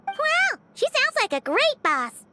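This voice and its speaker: A woman voicing a tiny, childlike, blunt and excitable fairy companion in a video game. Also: takes it slowly, over loud music, exaggerated voice. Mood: surprised, blunt. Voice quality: squeaky, childlike. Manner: blunt, eager, exclamatory.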